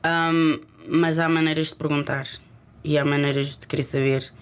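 A woman's voice: low-pitched, flat delivery, clear, resonant tone. Reflective woman with a Lisbon accent. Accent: Lisbon Portuguese. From Lisbon, Portugal. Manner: reflective, halting, mixes in English words. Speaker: interview guest, performer. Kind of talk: candid conversational interview.

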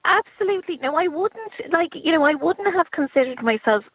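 Animated Irish woman on a phone line. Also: very high-pitched, crowd noise. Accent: Irish, Kerry. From Ireland. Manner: lively, animated, quick restarts. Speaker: guest comedian. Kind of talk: casual chat. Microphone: phone call.

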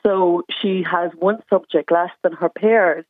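A woman's voice: lowish voice, steady tone, a little slow, no room sound. A woman calling a radio show as a mother, explaining her situation earnestly, with plenty of ums along the way. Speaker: caller, mother. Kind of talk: radio phone-in call. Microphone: telephone. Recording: tinny dry phone line.

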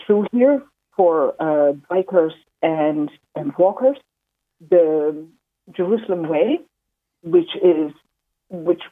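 A woman's voice: on the low side, no echo. A woman from the Netherlands, a walking pilgrim, talking in a phone interview with many fillers and restarts. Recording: tinny phone line.

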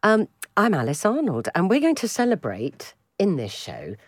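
Lively woman hosting a chat. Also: mid-range voice, normal speed, no echo, clean studio sound. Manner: lively, laughing, teasing. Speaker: podcast host. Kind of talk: radio interview.

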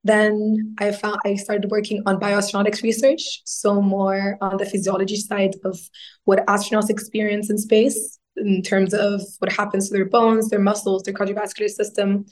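A woman's voice: mid-range voice, completely flat delivery, moderate pace, darkish tone. A woman, a guest answering interview questions in an earnest, explanatory way. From Egypt. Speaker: guest astronaut.

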